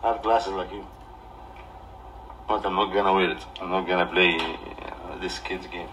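An Egyptian-accented man speaks in a low voice, off the cuff to a livestream. He is halting and defensive, repeating himself as he argues. The mic sits some way off in a room, with light noise behind him. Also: flat delivery. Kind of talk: off-the-cuff livestream rant to camera. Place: small living room indoors. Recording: distant mic with background noise.

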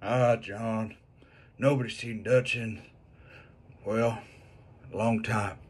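A man voicing a weary, slow-witted outlaw cowboy in a video game parody, with a gravelly, hesitant drawl. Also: lowish voice, steady tone.

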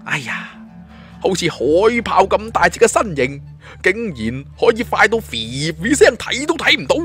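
A man's voice: high voice, very animated, moderate pace, sharp tone, voice pushed up, full character voice. A taunting young martial-arts hero , acted out by a male storyteller, speaks in an audiobook adventure. His voice is raised and swings playfully, self-assured, with faint music behind. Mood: amused, impressed.

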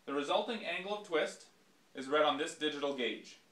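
A young man presenting a scripted product demonstration for his company, explaining each step in a measured way. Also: a little high, expressive, a bit bright. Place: small indoor workshop. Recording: echoey workshop room.

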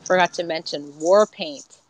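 Female guest, 40s, soft and candid, reflective delivery; a touch low for a woman, webcam-mic sound. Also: unhurried, a little hiss or hum, rough recording. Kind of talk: personal spiritual story. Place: home room, indoors.

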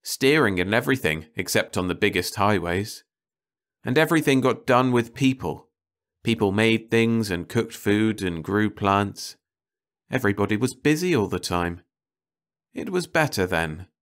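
A man voicing a quiet, wistful older roadside lunchroom keeper in an audiobook story, mild and musing. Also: lowish voice, lively intonation, normal speed, pitched above the performer's own voice. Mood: nostalgic. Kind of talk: audiobook character dialogue.

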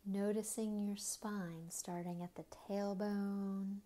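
A woman leading a guided body scan meditation in a gentle, unhurried manner, with soft, breathy phrase endings and long pauses between short phrases. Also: mid-range voice, little pitch movement.

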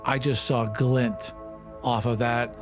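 A man's voice: medium pitch, steady tone, a little slow. A man casually improvising his character's moves as a player in a tabletop game. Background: music.

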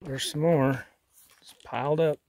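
A man's voice: fairly high pitch, fairly even intonation, a little slow, darkish tone. Middle-aged man narrating a hike. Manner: chatty, easily impressed, pointing things out. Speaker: vlogger. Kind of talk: casual hiking commentary. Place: outdoors on a rocky desert trail.

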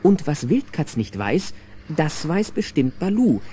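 Ein Mann als Erzähler eines Kinderhörspiels, der mit verspieltem Augenzwinkern vorträgt. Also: male voice, a little high, very animated, measured pace, mellow. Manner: storytelling, playfully wry. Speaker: narrator. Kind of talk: scripted children's audio drama narration. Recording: noisy old cassette audio drama.

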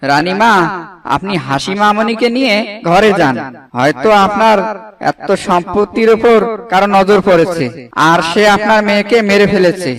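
A man plays a loyal young servant in a cartoon. His voice is high for a man, respectful and earnest. He sounds slightly off the microphone. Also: normal speed, fairly bright tone, distant mic. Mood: concerned. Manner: earnest, deferential. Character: loyal, respectful young male household helper. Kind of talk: Bengali cartoon story acting.

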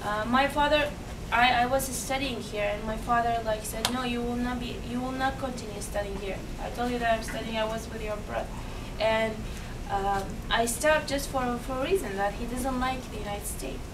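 Young Iraqi woman, English, earnest and halting, slightly high voice; distant camera audio in a noisy, roomy meeting room. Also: steady tone. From Iraq. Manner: earnest, halting, many restarts and fillers. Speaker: guest speaker. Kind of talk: unscripted talk to a meeting. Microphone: far camera.

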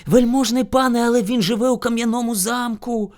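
A man voicing a small, sly hare in a folk tale, servile, meek and flattering as he pleads with his lord. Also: very high-pitched, brisk, voice pushed up. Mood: fearful flattery. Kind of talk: animated folk tale storytelling.

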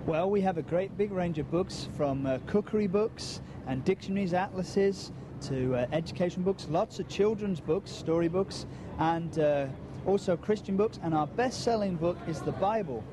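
A man, a bookstore manager answering interview questions steadily, pausing with "uh" as he lists things, recorded on a handheld mic in a large indoor shop. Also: high voice. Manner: steady, explanatory, with frequent "uh" pauses. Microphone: handheld mic held by interviewer. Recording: noisy crowded shop background.

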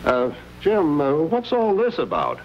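An actor playing a courteous middle-aged gentleman doctor in an old western, genial, measured and formal. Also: fairly high pitch, huge pitch swings. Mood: genial, hedging. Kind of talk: 1950s television western acting. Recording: muffled, hissy old TV soundtrack.

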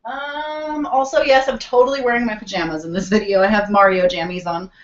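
A woman's voice: medium pitch, fairly even intonation, a little fast, highs cut off. Chatty young woman on a webcam. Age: 20s. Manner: chatty and rambling, hums while searching. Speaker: vlogger answering viewer questions. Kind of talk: casual chat. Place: small bedroom, indoors. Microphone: webcam video call.

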